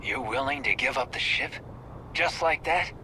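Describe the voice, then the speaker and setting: A man's voice: medium pitch, moderate pace, pushed and bright. Cocky male space pirate, noisy background. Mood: baffled. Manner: taunting, informal, clipped tough talk. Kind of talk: scripted video game dialogue.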